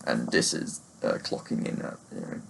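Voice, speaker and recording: A man giving a casual, unscripted book chat to camera in a hesitant, halting, rambling way. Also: a little hiss or hum. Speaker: book reviewer vlogger.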